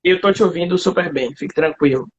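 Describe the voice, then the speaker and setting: A man with a notably high voice and a Bahian Brazilian accent hosts an informal online chat. He speaks colloquially, and the livestream audio is dull and lo-fi. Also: fairly quick, husky. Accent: Bahian Brazilian Portuguese. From Salvador, Bahia, Brazil. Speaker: host, literature teacher. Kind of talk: casual live chat. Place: online video live, remote call.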